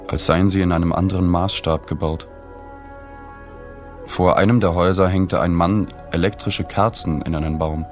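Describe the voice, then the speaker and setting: An actor playing a sober, observant journalist who narrates his story in a plain, measured way in a radio drama. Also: low-pitched, close to an everyday voice. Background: music.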